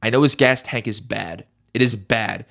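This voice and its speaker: A man's voice: fairly low pitch, moderate pace, vocal fry, fairly bright tone. A young man with a congested, stuffy voice giving casual fight predictions, emphatic and repeating his points, swearing now and then. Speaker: MMA YouTuber.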